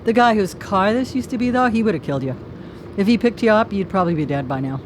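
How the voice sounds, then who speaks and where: A woman's voice: mid-range voice, lively intonation, fast talker, noisy background, natural voice. An actress playing a seductive, taunting, menacing woman killer in a live radio drama. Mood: coolly menacing.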